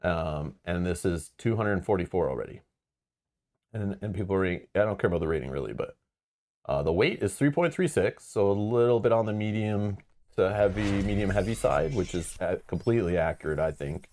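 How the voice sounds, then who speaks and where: A man's voice: low-pitched, expressive, a little fast, a little mellow. A man hosting a live board game stream, talking in a casual, rambling way with lots of fillers and frank asides. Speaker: board game channel host.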